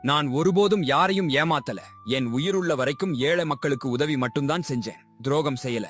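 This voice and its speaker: A male actor plays an honest, anguished young village hero in a folk tale. The voice sits a little high and is earnest and declamatory, over soft music. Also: brisk, natural voice. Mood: pleading. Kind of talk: dramatized moral story acting.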